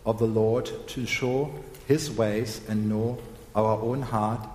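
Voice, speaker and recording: A hoarse male preacher delivers a sermon at a slow, deliberate pace, pausing to clear his throat. His voice rings through a roomy hall with a long echo and a faint hum behind it. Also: fairly low pitch, fairly even intonation, a little mellow. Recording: echoey hall with long reverb.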